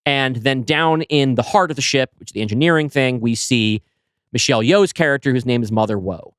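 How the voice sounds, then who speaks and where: A man co-hosting a podcast, walking his co-host through his own screenplay and reading scenes aloud, with an animated storyteller's delivery and frequent fillers. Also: medium pitch, brisk, smooth, no echo, studio quality.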